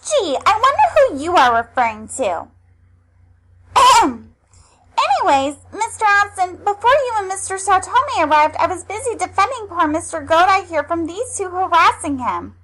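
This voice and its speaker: A woman's voice: extremely high pitch, sing-song, moderate pace, very noisy. A woman playing a kind but easily indignant teenage girl in an amateur anime audio drama, exasperated yet polite.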